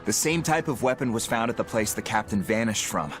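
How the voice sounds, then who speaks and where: A man's voice: lowish voice, expressive, brisk, a bit bright, soft background music. An actor playing a dutiful, earnest pirate crewman in a video game. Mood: earnest report. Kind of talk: English video game voice acting.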